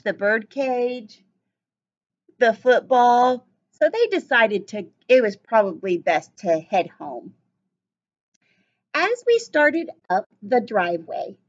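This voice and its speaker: Female primary teacher, high and very animated, storytime read-aloud for little kids, sing-song emphasis. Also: highs cut off. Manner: sing-song, exaggerated emphasis, addressing children directly. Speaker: elementary school teacher. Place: small indoor classroom with cabinets and whiteboard.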